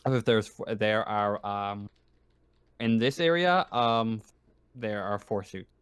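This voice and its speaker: A man's voice: fairly low pitch, slow pace, a bit bright, clean tone, no room sound. A man speaking casually as the game master of a tabletop roleplaying game.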